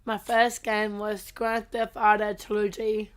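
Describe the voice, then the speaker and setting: Slow, flat-toned woman, slightly sick. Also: mid-range voice. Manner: brief, agreeing interjections. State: mildly sick. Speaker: podcast co-host. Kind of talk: casual chat.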